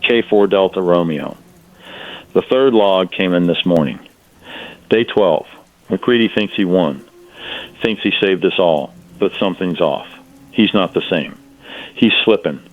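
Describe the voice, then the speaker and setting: An actor playing a wary, uneasy radio operator in an audio drama, speaking in terse phrases through a radio filter. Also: male voice, low voice, measured pace, long pauses. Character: uneasy male radio operator reporting to unseen listeners. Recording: tinny, narrow-band radio transmission.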